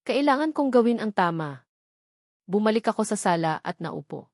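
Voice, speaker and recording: A woman's voice: mid-range voice, big pitch swings, moderate pace, a little mellow, no room sound, clean studio sound. A woman reading a scripted dramatic love story as a narrator.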